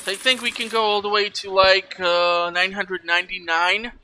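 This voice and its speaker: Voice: mid-range voice, little pitch movement, normal speed, pushed and bright, a little hiss or hum. A gaming video host giving casual let's play commentary, chatty and rambling while thinking aloud. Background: video game music.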